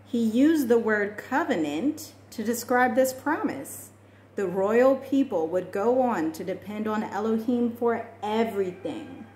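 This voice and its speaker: A young adult woman reading a children's storybook aloud in a measured, expressive way. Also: medium pitch. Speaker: children's book reader. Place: small indoor room at home. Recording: echoey room with faint noise.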